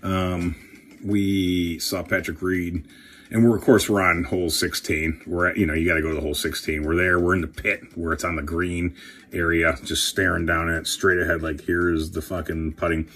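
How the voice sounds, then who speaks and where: A man chatting casually and excitably while opening trading card packs, swearing now and then. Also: low-pitched, normal speed. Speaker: career coach and card collector host.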